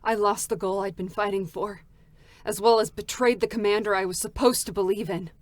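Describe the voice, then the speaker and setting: A woman's voice: mid-range voice, fairly even intonation, dry recording, close to an everyday voice. A woman voicing a world-weary, bitter android soldier haunted by loss, in a grave, dramatic game-story reading. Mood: mournful. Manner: slow, brooding, grave delivery. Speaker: voice actor.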